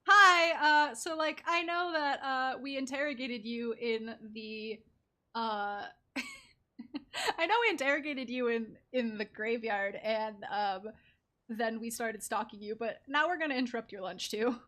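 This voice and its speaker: High, bright-voiced woman streaming a game. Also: unhurried. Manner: playful, rambling, makes silly sound effects. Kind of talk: casual live game commentary. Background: game music.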